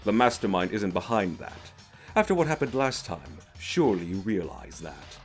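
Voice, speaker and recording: A man's voice: fairly low pitch, big pitch swings, moderate pace, soft background music. A man playing a haughty, commanding teenage boy in an anime game fan dub, imperious and curt. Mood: cold impatience.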